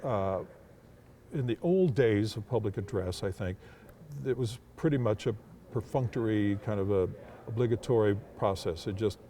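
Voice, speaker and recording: An older man, a public address announcer, reflecting in an interview in a deliberate, hesitant way with formal wording. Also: on the low side, dark tone, slight background noise.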